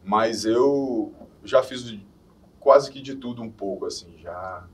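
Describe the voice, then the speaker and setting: A man in his forties, from Minas Gerais in Brazil, chatting easily as a podcast guest and often letting his sentences trail off softly. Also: mid-range voice, slow pace. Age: 40s. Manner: easygoing, laughs off, trails off softly. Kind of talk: casual interview chat. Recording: distant mic.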